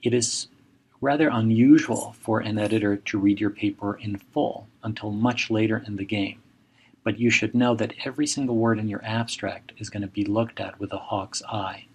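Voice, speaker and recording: Gravelly middle-aged man. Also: on the low side, fairly even intonation, a little mellow. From Mexico. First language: Spanish. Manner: measured, advising, direct imperatives. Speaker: journal editor and professor. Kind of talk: recorded seminar lecture.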